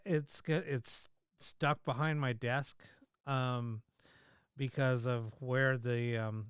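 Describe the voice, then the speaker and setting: A man hosting a paranormal livestream, chatting casually with many fillers and restarts, his voice slightly hoarse. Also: mid-range voice, no room sound, studio quality. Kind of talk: casual live-stream chat.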